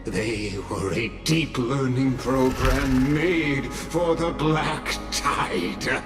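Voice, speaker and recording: A man playing a cold, all-observing mastermind villain in a video game, pompous and deliberate, pausing for effect. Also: medium pitch, music in the background. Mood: coldly condescending.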